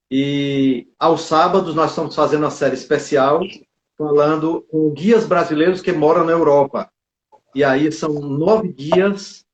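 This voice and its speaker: A man hosting a live video interview, chatty and full of fillers and asides. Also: on the high side. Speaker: live stream host. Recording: echoey, dull lo-fi stream audio.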